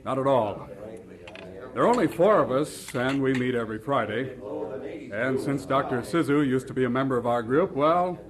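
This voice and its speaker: A man's voice: mid-range voice, lively intonation, normal speed, a crowd in the background, lightly acted. An actor playing a jovial, teasing clergyman in an audio drama, chatty and genial in manner.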